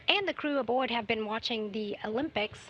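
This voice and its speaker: A woman moderating a question session, giving short, crisp prompts and follow-ups. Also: fairly high pitch, brisk, a bit bright, a little hiss or hum. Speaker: event moderator. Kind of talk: brief moderating remarks. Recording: muffled lo-fi audio with faint ambience.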